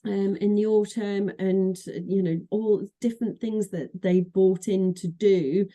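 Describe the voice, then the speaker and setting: Dark-voiced Mancunian woman. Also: mid-range voice, fairly even intonation, unhurried. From Manchester, England. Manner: chatty, many fillers and restarts. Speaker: association executive director, guest. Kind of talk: podcast interview.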